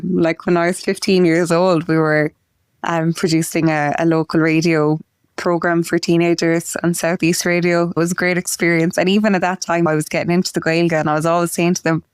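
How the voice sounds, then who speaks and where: An Irish woman in her late twenties, a guest in an interview, talking in a chatty way full of "like" and quick asides. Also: fairly low pitch, flat delivery, clear, resonant tone, dry recording, studio quality. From Ireland. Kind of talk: conversational interview.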